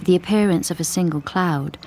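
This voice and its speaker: An actress narrating a radio play as a solemn storyteller, measured and portentous. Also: female voice, on the low side, dark tone, a little hiss or hum, natural voice, rough recording. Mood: solemn, lyrical. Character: solemn female storyteller of rabbit legend. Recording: music clearly under the narration.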